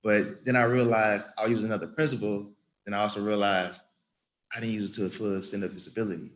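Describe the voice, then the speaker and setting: A man of about thirty speaks in a slightly low voice, sharing an unscripted church testimony. He talks earnestly and loosely, circling back and repeating phrases as he goes. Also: little pitch movement, fast, slight background noise. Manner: earnest, rambling, with frequent restarts and repetition. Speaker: church member giving testimony. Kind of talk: spontaneous spoken testimony.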